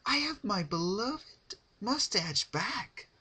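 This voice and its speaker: Casting: male reader as chirpy, sadistic female party pony, high-pitched, rambling storybook villain with a husky edge. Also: big pitch swings, takes it slowly, sharp tone, exaggerated voice. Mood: gleeful, chatty. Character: manic cheerful female cartoon party pony, sadistic and chatty.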